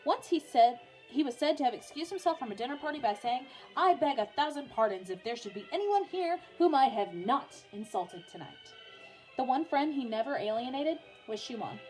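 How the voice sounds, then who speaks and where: A woman's voice: high-pitched, a little mellow, rough recording. A young adult woman, a music teacher, speaking informally as she reads and talks to her pupils. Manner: informal, often breaking off to soothe a small child. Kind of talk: reading a biography aloud for pupils, with casual asides.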